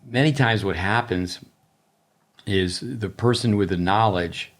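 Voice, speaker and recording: A middle-aged man with a very low voice, co-hosting a casual tennis podcast. He rambles and rattles off ideas in quick lists. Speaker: tennis coach, podcast co-host.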